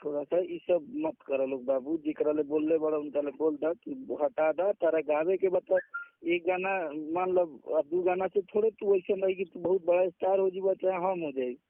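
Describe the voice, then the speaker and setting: A man's voice: a little high, moderate pace, dry recording. A man with a Bhojpuri accent in an informal phone conversation, pleading and persuasive, often checking that the listener agrees. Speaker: music artist with own studio. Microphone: phone call. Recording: muffled recorded phone call.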